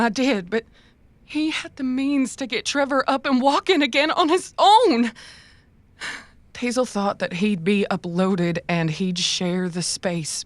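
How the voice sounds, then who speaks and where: A woman playing a sorrowful schemer who justifies herself, halting and pleading, in a cyberpunk audio drama. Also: fairly high pitch, dry recording.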